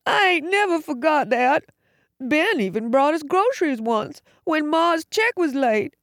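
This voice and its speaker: Woman playing big simple country lad, high plaintive tone, tearful, rustic, audiobook character. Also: very animated, unhurried, no echo, clean studio sound, exaggerated voice. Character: grateful, tearful young giant of a man, simple country speech.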